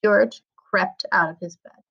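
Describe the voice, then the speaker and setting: A woman, a school librarian, reading a children's story aloud with expressive storytelling. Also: medium pitch, a little slow, creaky, lo-fi sound.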